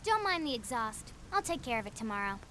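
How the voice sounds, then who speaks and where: Game role: chatty young teen girl fixer, very animated high voice, casual, upbeat. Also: fairly quick, slight background noise. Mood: breezy, cheerful. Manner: breezy, casual, eager. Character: perky, chatty thirteen-year-old girl mechanic. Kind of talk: video game cutscene acting. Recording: loud music under the dialogue.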